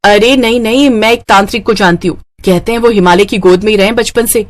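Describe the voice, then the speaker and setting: A young female friend in a cartoon tale, acted by a woman narrator. She is concerned and sure of herself, with a voice of medium pitch. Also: fairly even intonation, a little fast, lightly acted. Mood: helpful. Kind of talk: cartoon moral-story acting.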